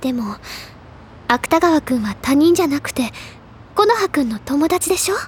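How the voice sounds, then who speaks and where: An actress playing an earnest, caring high school senior in an audio drama, gentle in manner yet insistent and determined. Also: female voice, expressive, normal speed, slight background noise. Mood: serious, resolute.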